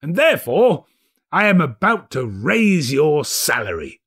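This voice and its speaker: A man's voice: on the high side, wildly swooping pitch, measured pace, fairly bright tone, husky, voice pushed up, heavily acted. A man voicing an old reformed miser in a storybook, hearty and emphatic, with playful sternness. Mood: mock stern. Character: old reformed miser, hearty, jubilant, mock-gruff employer. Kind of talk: dramatic storybook character reading.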